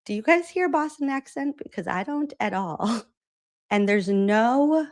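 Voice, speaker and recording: A woman's voice: fairly high pitch, animated, a little slow. A woman from Massachusetts hosting a political commentary show, chatty and sarcastic, laughing often. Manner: sarcastic, incredulous. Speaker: host.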